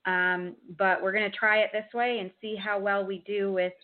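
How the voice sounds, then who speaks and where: A woman's voice: mid-range voice, little pitch movement, moderate pace. A woman hosting a talk show over a video call, hesitant, with frequent ums and restarts. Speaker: host. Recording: tinny phone-line audio.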